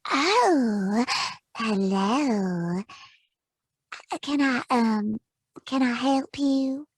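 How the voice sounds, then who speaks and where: An actress playing a coy, excitable hotel desk clerk with a girlish, sing-song breathiness in a radio drama. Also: a little high, very slow, breathy edge, no echo, clean studio sound, full character voice.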